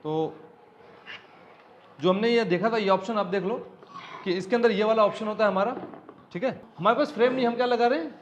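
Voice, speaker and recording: High-voiced man teaching electronics repair. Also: a little fast, pauses often. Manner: explains step by step, asks and answers his own questions. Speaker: automotive electronics trainer. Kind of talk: hands-on technical tutorial. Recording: slightly dull recording, faint background noise.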